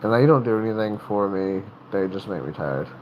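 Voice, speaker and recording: Southern man, low voice, rambling chat. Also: animated, highs cut off, slight background noise. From North Carolina. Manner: rambling, halting, many restarts. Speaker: livestream host. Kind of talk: casual livestream chat.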